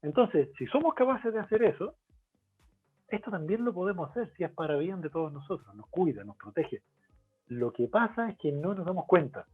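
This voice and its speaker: Chilean man, guest expert, fairly high husky voice, animated delivery, phone-like video call line with faint music. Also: normal speed. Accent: Chilean Spanish. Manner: explanatory, lively, lists points with rhetorical questions. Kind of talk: webinar interview.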